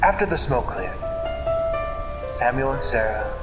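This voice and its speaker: A man narrating a scripted story in a theatrical, deliberate manner, like an old-time radio serial. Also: medium pitch, sing-song. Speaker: narrator of a dream story series. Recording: echoey reverberant hall, loud music behind. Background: music.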